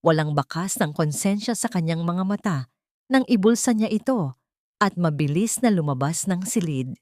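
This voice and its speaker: A woman with a fairly low voice narrates an audio drama from a script. Her delivery is solemn and deliberate, with dramatic weight. The recording is dry and close. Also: animated, darkish tone, no echo.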